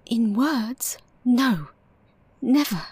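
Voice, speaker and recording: An actress playing a heartbroken, gently resolute young fiancée in an audio drama, breathy and formal in her delivery. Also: fairly high pitch, lively intonation, extremely slow, no echo, heavily acted. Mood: sorrowful, resolute.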